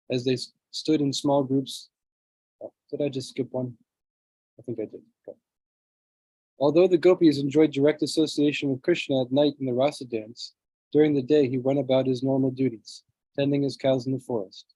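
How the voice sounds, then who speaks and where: A man leading a scripture class over a video call, reading translations aloud and often clearing his throat, with a low voice. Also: mellow, no room sound, rough recording. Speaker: class speaker. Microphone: computer mic on a video call.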